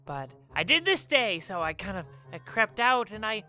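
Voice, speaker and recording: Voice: mid-range voice, big pitch swings, moderate pace, pushed and bright, soft background music. An actor playing a timid, bumbling male camp counselor in a light-hearted audio drama, stammering and hesitant. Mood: uneasy storytelling.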